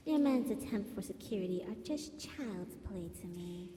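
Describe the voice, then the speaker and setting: A woman's voice: medium pitch, animated, noisy background, lightly acted. A woman playing a villainous female spider robot in an ASMR roleplay, a seductive, menacing captor who talks in a haughty, taunting purr. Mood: sly, threatening. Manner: slow, purring, haughty and taunting. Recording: echoey hall-like reverb.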